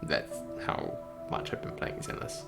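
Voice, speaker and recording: A man streaming in a casual, rambling way, thinking aloud with hesitant phrasing. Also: measured pace. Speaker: streamer, game developer. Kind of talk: casual livestream chat. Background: music.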